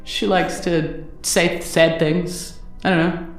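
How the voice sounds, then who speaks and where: A woman's voice: lowish voice, little pitch movement, takes it slowly, echoey room, soft background music. A woman with a New Zealand accent voicing a simple, friendly male cloud servant who rambles naively in a tabletop game. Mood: laid-back.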